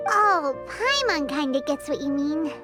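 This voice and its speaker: A tiny, chirpy fairy sidekick for an anime game. The voice is extremely high, squeaky and childlike, with lively swoops. She is cheeky yet helpful, and music plays behind her. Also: measured pace. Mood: agreeable. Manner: refers to herself by name in third person. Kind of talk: English anime game voice acting.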